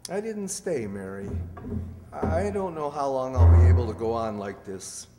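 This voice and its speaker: A man playing a weary, anxious fugitive doctor and father in an old-time radio drama, troubled and halting, on a hall stage. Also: medium pitch, lively intonation, loud music behind the voice, natural voice. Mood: worried. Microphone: stand microphone. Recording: echoey hall stage recording.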